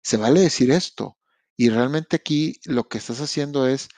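A man with a Mexican accent talks straight to his podcast listeners, frank and slangy, and often restarts his sentences. His voice sits at an ordinary pitch. It is recorded dry and close, with no room echo. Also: normal speed, a little mellow. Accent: Mexican Spanish. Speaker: podcast host. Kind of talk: casual motivational podcast monologue. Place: lives in Canada.

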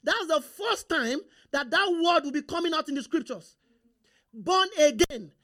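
A man's voice: very high voice, lively intonation, unhurried, a bit bright. Nigerian preacher quizzing his congregation. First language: Yoruba. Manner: call-and-response, rhetorical questions to the congregation. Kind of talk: sermon.

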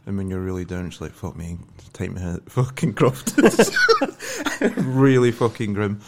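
Man, Glaswegian accent, young adult, lowish voice, sweary, wry, podcast chat. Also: moderate pace, heavy background noise. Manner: sweary, blunt, wry storytelling. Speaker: comedian guest.

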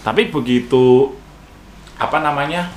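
A man with a heavy Javanese-flavored Indonesian accent talks in a relaxed, meandering way, stopping on fillers while he thinks. His voice sits at an ordinary middle pitch, and a faint hiss of background noise runs under it. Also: a little slow. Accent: Javanese-tinged Indonesian. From Indonesian regions outside Jakarta. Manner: thoughtful, hesitant, many fillers. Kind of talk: casual chat.

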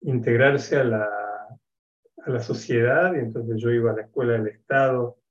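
A man in his sixties from Argentina with an Argentine accent, speaking as an interview guest on a video call from his home office, in a measured, reflective way with many hesitations. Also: mid-range voice, dull audio. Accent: Argentine Spanish. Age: 60s. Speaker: guest, education expert. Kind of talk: interview conversation.

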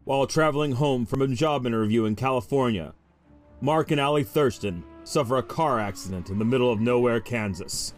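A man's voice: mid-range voice, brisk. A man narrating a scripted promo for a horror story. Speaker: trailer narrator. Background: music.

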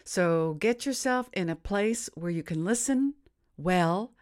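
A woman hosting a live-streamed devotional show, speaking in a gentle, unhurried way as she teaches and reads aloud. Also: fairly low pitch, animated. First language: English. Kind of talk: slow, deliberate teaching and reading aloud.

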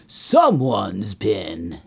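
A woman's voice: extremely low pitch, wildly swooping pitch, extremely slow, gravelly, breathy edge, voice pushed down, exaggerated voice. A woman voicing a grand, fussy, puzzled father bear in a children's story, declaiming with growls.

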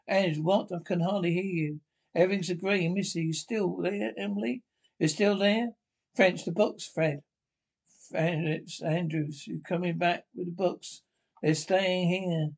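High-pitched man haltingly reading a script. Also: fairly even intonation, gravelly. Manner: halting, stumbling over words and repeating them; reads speaker names aloud along with the lines. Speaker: amateur script reader. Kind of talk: reading a radio drama script aloud.

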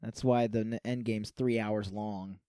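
A man's voice: on the low side, fairly even intonation, unhurried, warm tone, clean tone, no echo, clean studio sound. A man chatting casually and jokingly as he co-hosts a movie commentary. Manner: joking, laughs often. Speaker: co-host of a film watch-along commentary.

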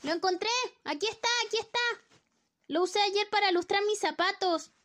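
High, flippant scolding wife for a radio drama, played by a woman. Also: normal speed, fairly bright tone. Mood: chiding. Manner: flippant, nagging. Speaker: student radio drama actor. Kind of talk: amateur radio play acting.

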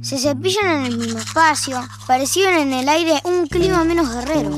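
High-voiced Argentine woman acting as wry narrator. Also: moderate pace, lightly acted. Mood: wry, observant. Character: wry all-knowing female narrator of domestic life. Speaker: narrator of an audio fiction. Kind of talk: scripted wry literary narration. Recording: music bed behind a dry studio voice.

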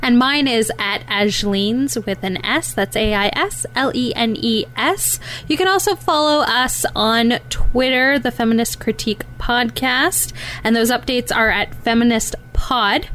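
A woman co-hosting a movie podcast, talking in a relaxed, agreeable way with some casual swearing. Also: a little high, measured pace, slight background noise. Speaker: podcast co-host. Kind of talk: casual chat.

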